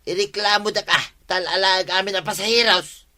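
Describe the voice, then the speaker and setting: A man's voice: high-pitched, fairly even intonation, moderate pace, sharp tone. A man with an Ilocano accent playing a roguish, bantering tricycle driver husband in a comedic radio drama, with music behind.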